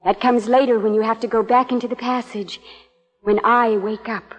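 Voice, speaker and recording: An actress playing a young wife's cool, composed dream double in a 1950s radio suspense drama, speaking calmly and deliberately. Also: female voice, on the high side, close to an everyday voice. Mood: calm menace. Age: young adult. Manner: calm, deliberate, coolly menacing. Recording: dull echoey 1950s radio recording.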